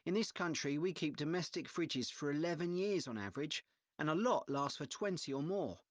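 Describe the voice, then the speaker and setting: A man playing an easygoing, agreeable young student in a measured, understated scripted dialogue. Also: fairly high pitch, lively intonation, dry recording, close to an everyday voice. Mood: thoughtful. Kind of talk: scripted exam listening dialogue.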